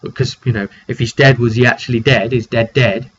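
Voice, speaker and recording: A man's voice: medium pitch, fairly even intonation, brisk, a bit bright. A British man, a game master, casually recounting a tabletop roleplay session in a chatty, rambling way and acting out what players said. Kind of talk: casual storytelling monologue. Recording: dull lo-fi mic with faint noise.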